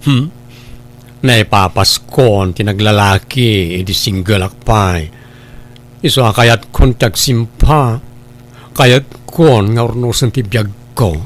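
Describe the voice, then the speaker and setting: A man's voice: fairly low pitch, slow. A man voicing a shy, tender tomboy bride in an audio comedy drama.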